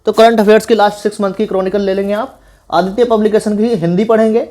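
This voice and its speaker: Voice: mid-range voice, fairly even intonation, fast, warm tone. A speaker giving informal exam coaching advice as an online teacher, in an instructive, repetitive way with frequent check-in tags.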